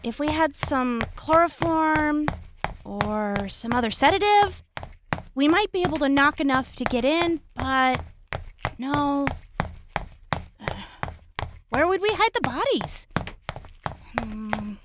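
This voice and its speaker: Woman acting a high-pitched, blunt young fugitive. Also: expressive, slow, long pauses, noisy background. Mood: skeptical. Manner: sarcastic, blunt. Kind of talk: scripted post-apocalyptic audio drama acting.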